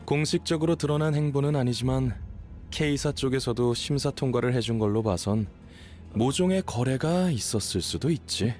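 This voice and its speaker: A man's voice: mid-range voice, rapid-fire, a little mellow, faint music behind, close to an everyday voice. An actor voicing a man who gives sly, informal mission briefings in a video game. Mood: casual, knowing. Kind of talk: Korean game voice acting.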